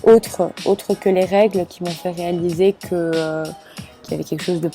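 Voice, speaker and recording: A young woman in her late teens from Belgium, telling her own story with many pauses, fillers and restarts. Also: lowish voice, steady tone, over music. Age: young adult. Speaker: student sharing her experience. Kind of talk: personal testimony to camera.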